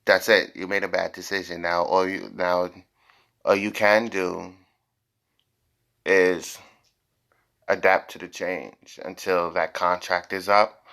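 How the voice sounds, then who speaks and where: Deep, gravelly, bright-edged man reading tarot. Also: level tone, takes it slowly, pauses often. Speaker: tarot reader, host. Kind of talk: informal tarot reading to viewers.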